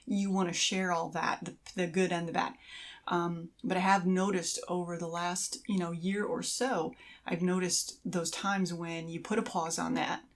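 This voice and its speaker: Slightly low-voiced woman chatting on a podcast. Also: level tone, normal speed. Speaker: podcast co-host. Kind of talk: casual conversational podcast talk.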